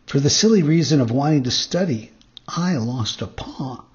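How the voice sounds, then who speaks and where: A man's voice: mid-range voice, animated, normal speed, muffled recording, lightly acted. A man voicing a sly, courteous, persuasive lame fox in a storybook reading. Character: sly, lame male fox swindler, smooth-talking.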